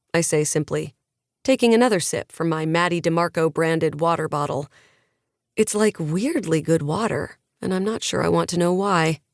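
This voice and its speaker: A woman with a lowish, mellow voice reads a first-person novel in a wry, conversational way. The sound is close and very dry, with no room around it. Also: no echo. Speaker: audiobook narrator. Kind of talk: first-person audiobook narration.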